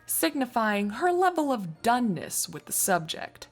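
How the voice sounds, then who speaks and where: A woman's voice: fairly high pitch, big pitch swings, unhurried, faint music behind. A woman narrating a scripted fan fiction story as a storyteller.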